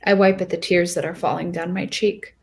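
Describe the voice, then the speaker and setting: A woman reads as a young college student narrating her own story. Her voice sits a little low for a woman. She is earnest and expressive, with a wounded, insecure edge. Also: fairly quick, creaky. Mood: sad, insecure. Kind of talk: first-person story narration with dialogue.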